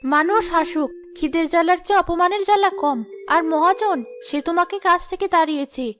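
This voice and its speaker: A woman's voice: very high voice, normal speed, fairly bright tone, faint music behind. A woman playing a devoted, determined young wife in a cartoon drama, poor and encouraging. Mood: urging. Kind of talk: Bengali cartoon drama voice acting.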